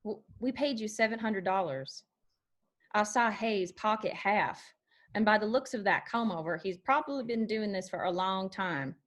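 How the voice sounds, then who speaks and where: A woman's voice: mid-range voice, moderate pace, fairly bright tone, natural voice. A woman reading the part of a bold, outspoken young bar manager in a screenplay table read. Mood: frank, pressing.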